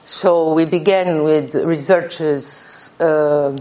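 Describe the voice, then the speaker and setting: A middle-aged woman from Brazil with a Brazilian Portuguese accent, a native Portuguese speaker giving an informal academic talk in a halting way with many fillers and restarts. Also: sits low, fairly even intonation, warm tone, lo-fi sound. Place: conference room.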